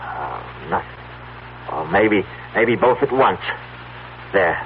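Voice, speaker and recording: Agitated husband, very animated, tinny radio. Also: male voice, sits low, slow pace. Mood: tense, excited. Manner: urgent, stammering, swinging from pleading to threats. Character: desperate, agitated husband pleading for his wife's cure. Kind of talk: old-time radio drama acting. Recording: tinny, humming, noisy radio recording.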